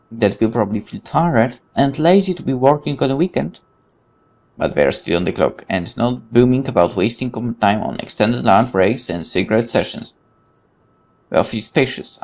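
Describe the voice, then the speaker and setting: Male let's play host, mid-pitched with some gravel, reads visual novel text and drifts into rambling, stumbling asides. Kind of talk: reading a visual novel aloud with casual commentary.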